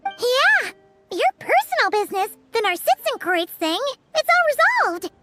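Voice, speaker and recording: Squeaky fairy sidekick, very high pitch, childlike, excitable, gushing, video game, swooping intonation. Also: female voice, measured pace, exaggerated voice. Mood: cheerful. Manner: gushing, exclamatory. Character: tiny excitable female fairy companion, childlike and cheerful. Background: background music.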